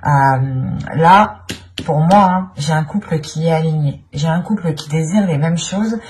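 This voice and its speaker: A woman's voice: low voice, a little slow, a little mellow. A woman giving an improvised tarot reading as a channel host, speaking in a searching way and often repeating her phrases.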